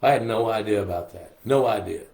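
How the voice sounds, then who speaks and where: An older man, a little hoarse, chatting casually with livestream viewers in a folksy way. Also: fairly low pitch, fairly quick, darkish tone. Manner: chatty, folksy, reads viewer comments aloud. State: hoarse from a lingering cough. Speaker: host. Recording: roomy echo on a live stream.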